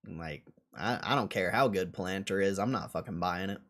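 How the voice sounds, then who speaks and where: A man's voice: low voice, moderate pace, fairly bright tone. A man hosting a livestream in a casual, blunt and dismissive way, swearing now and then as he answers chat. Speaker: livestream host.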